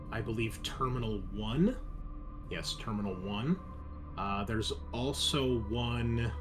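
A man narrating a tabletop roleplaying game as game master, casual and halting as he improvises descriptions. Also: on the low side.

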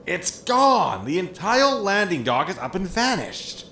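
A man's voice: high voice, big pitch swings, a little slow, bright, forceful tone, exaggerated voice. Man acting a sarcastic spaceship crewman, echoey. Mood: alarmed. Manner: sarcastic, exasperated. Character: grumbling, money-minded, sarcastic spaceship crewman. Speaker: actor in an audio drama. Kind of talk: sitcom audio drama acting. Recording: roomy echo with noisy ambience.

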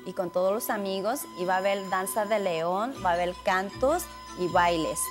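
A woman in her thirties, born in China, speaking carefully and somewhat haltingly as a guest in a TV studio interview. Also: mid-range voice, music in the background. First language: Chinese. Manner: careful, slightly halting non-native speech. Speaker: community guest. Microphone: handheld mic held by the host.

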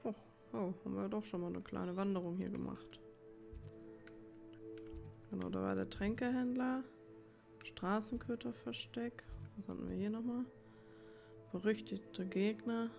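A woman's voice: mid-range voice, measured pace, gravelly, long pauses, a little mellow. A woman giving casual gameplay commentary, musing to herself in an informal way. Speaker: let's player. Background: game music.

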